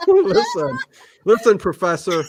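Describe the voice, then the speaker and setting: An American man from Cleveland chatting casually as a livestream guest, excitable, often repeating himself and interjecting. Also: high voice, takes it slowly, a crowd in the background. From Cleveland, United States. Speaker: YouTube channel host, interview guest.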